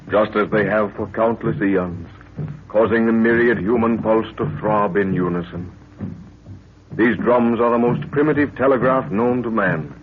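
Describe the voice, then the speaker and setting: Deep, portentous old-time radio announcer, male. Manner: portentous, theatrical. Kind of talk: dramatic 1930s radio scripted narration. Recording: tinny, noisy 1930s radio recording.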